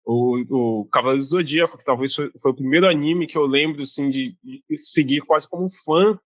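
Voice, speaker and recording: A man's voice: medium pitch, brisk, fairly bright tone, dry recording, lo-fi sound. A man from Bahia, a guest author talking casually in an interview, with many fillers and restarts. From Bahia, Brazil.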